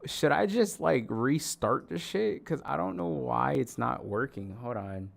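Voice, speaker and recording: A male streamer talking to his chat in a loose, rambling way, loud and profane with lots of joking. His voice is gravelly with plenty of fry and sounds hoarse, as if he is losing it. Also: mid-range voice, expressive, a little slow. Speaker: livestreamer. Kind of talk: casual stream chat to viewers.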